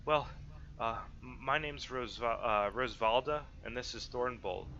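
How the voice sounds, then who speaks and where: A man's voice: mid-range voice, unhurried, bright, forceful tone, lo-fi sound, a little hiss or hum, voice pushed up. A man voicing a polite, timid nine-year-old girl in a tabletop game, stammering and hesitant. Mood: pleading.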